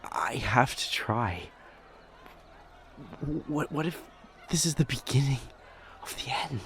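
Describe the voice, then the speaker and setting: A man playing a shaken, devoted demon lover in an audio drama, halting and breathy as he speaks. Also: mid-range voice, lively intonation, a bit bright, slight background noise. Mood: urgent, desperate. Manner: halting, stammering, breathy urgent delivery. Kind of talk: intense dramatic audio roleplay acting.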